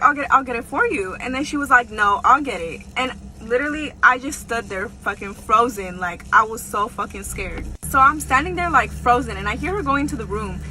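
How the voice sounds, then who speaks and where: A young woman telling a personal story casually for social video, slangy, conversational and often swearing. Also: a little high. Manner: frequent profanity, slangy and conversational. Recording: clear background noise.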